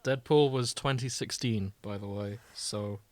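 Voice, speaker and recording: A man's voice: lowish voice, a little slow. A man hosting a podcast, talking in casual banter with friends. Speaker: podcast host.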